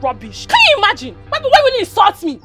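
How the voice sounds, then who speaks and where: A woman's voice: extremely high pitch, huge pitch swings, fast, over music, exaggerated voice. An actress playing a fiery young woman with a Nigerian accent who hurls scornful, Pidgin-laced insults. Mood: angry. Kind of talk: Nollywood-style drama acting.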